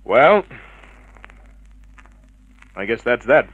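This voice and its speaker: An actor playing a cynical, unflappable private detective, terse and dry, in vintage radio drama style. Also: male voice, mid-range voice, sing-song, extremely slow, fairly bright tone, slightly rough, highs cut off, heavily acted. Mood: firm, dry. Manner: terse, hard-boiled, dry. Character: cynical, unflappable male private detective narrating his case.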